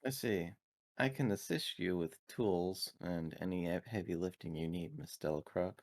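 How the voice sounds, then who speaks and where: A man's voice: sits low, moderate pace. A man voicing a formal, coolly logical, helpful spaceship crewman in a tabletop roleplaying game. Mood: politely helpful. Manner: formal, restrained.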